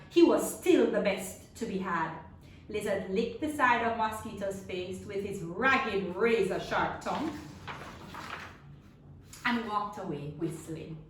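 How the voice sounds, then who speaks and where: A woman's voice: mid-range voice, fairly bright tone. A woman reading a children's story aloud theatrically, stressing and stretching words for her listeners. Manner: theatrical, with emphatic drawn-out words and questions to listeners. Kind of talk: dramatic read-aloud story narration. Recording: echoey room, distant mic, faint noise.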